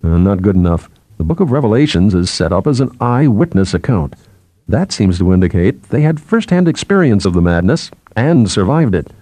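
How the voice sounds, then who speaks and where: A man's voice: on the low side, very animated, moderate pace, warm tone, no echo. A man voicing a wry, nervy man resigned to looming catastrophe, in a hesitant, questioning way for an audiobook.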